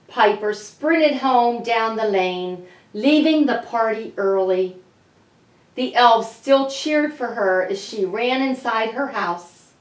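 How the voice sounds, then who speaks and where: A woman author reading a storybook aloud for children, speaking deliberately and addressing young listeners directly. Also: medium pitch. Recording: echoey room, slightly distant mic.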